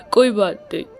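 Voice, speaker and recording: A calm older husband from a storybook tale, talking slowly in a mellow, dark voice, as a woman acts him out. He soothes and gently scolds his wife. Soft music plays behind. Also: fairly high pitch, full character voice. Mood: amused.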